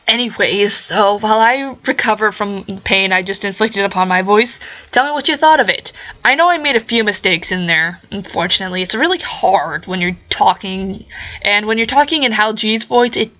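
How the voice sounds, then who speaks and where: A woman's voice: medium pitch, expressive, moderate pace, bright, forceful tone, lo-fi sound. A woman reading amateur fiction aloud and talking casually to her listeners. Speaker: amateur audio fiction narrator. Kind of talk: story narration and casual talk to listeners.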